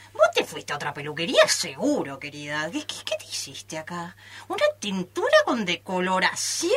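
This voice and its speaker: A woman's voice: medium pitch, sharp tone. A woman with an Argentine accent playing a theatrical, effusive salon hairdresser in an improvised radio play, full of pet names. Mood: scandalized, scolding. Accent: Argentine Spanish. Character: gushing, theatrical, reproachful female hairdresser running her salon.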